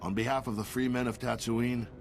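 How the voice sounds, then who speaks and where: An actor playing an earnest, plainspoken frontier militia leader in a video game. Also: male voice, medium pitch, fairly even intonation, a little fast, a little hiss or hum, lightly acted.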